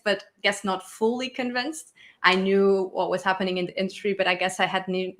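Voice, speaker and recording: A woman you'd hear as a guest on a relaxed creator interview, sharing personal experiences. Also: mid-range voice. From Portugal. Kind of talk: casual chat, personal storytelling.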